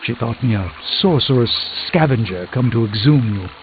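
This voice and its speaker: An actor voicing an urbane, proud middle-aged sorcerer in a video game, speaking with eloquent, theatrical dry wit. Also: male voice, mid-range voice, animated, moderate pace, noisy background, close to an everyday voice. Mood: grave, reflective. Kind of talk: scripted video game dialogue. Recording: thin phone-like band, loud music behind.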